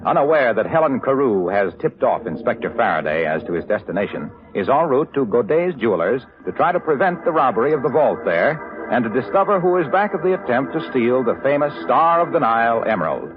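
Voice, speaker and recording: Animated old-time radio announcer man. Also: medium pitch, fairly quick, over music. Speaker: announcer and narrator. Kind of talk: 1940s radio announcing, scripted. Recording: narrow-band noisy old radio broadcast.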